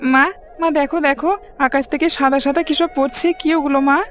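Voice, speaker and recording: Woman doing a high-pitched curious little boy. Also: fairly even intonation, normal speed, faint music behind, lightly acted. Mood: excited, curious. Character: small curious boy, excitable. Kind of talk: cartoon character dialogue.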